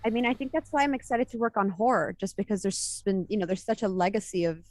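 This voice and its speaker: A woman's voice: mid-range voice, fast talker, darkish tone, dry recording. A woman from Italy with no Italian accent, a film composer answering interview questions in a chatty way, full of fillers and self-corrections.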